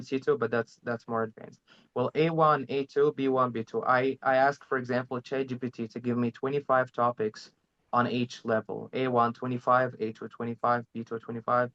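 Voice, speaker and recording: A man whose first language is Arabic, sharing language-learning tips in a halting, hesitant way. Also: medium pitch. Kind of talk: casual interview chat. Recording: slightly dull lo-fi audio.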